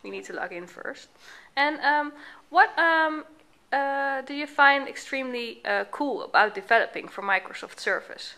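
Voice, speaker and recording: A woman's voice: high voice, animated, normal speed, pushed and bright. A woman, a teacher interviewing her students and rephrasing their answers back to them. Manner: friendly prompting questions, rephrases answers back. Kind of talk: casual on-site interview.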